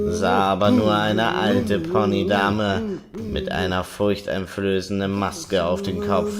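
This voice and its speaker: A man playing a mischievous trickster creature, telling a spooky campfire tale in a theatrical, suspenseful audio-play style. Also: lowish voice. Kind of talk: scripted dramatic storytelling, audio play. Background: background music.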